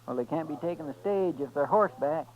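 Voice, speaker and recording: High, quick folksy American deputy played by a man. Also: lightly acted. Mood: eager, puzzled. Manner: folksy, deferential. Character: folksy, deferential frontier deputy, eager and loyal. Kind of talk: 1950s radio western acting. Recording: muffled old radio recording, busy background.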